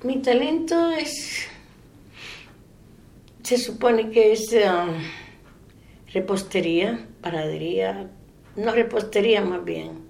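A woman in her eighties from the Dominican Republic with a Dominican accent, giving a soft-spoken oral history interview. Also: mid-range voice, expressive, takes it slowly, creaky, long pauses. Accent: Dominican Spanish. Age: 80s. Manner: soft-spoken, trailing off into quiet.

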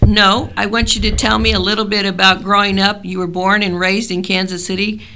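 A woman leads an oral history interview in a bright, forceful voice with a gravelly edge. She reads her introduction formally and asks her questions plainly. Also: medium pitch, level tone, fairly quick, slight background noise, poor audio. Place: library interview room.

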